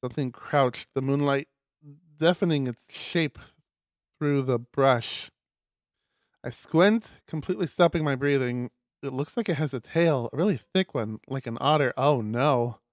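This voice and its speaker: A man streaming a visual novel, reading it aloud as expressive narration with casual asides. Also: medium pitch, measured pace, no echo.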